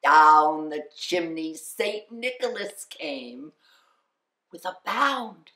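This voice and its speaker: A woman reading a children's picture-book poem aloud as a storyteller, theatrical and deliberate, with dramatic pauses. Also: low-pitched, animated, pushed and bright. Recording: roomy, echoing voice recording.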